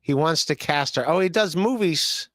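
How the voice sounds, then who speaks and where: Man, podcast host, slightly high voice, dry sound, reads out viewer comments, teasing and easygoing. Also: expressive, moderate pace, a bit bright, no echo. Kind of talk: casual podcast chat.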